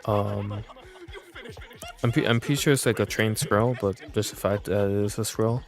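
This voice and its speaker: A young man chatting casually as a game streamer, rambling and halting as he goes. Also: lowish voice, steady tone, soft background music. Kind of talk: casual livestream chat.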